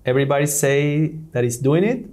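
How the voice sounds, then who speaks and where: A man's voice: on the high side, a little fast, a little mellow. A man from Venezuela, a guest in an interview, talking with many fillers and restarts. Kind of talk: conversational interview.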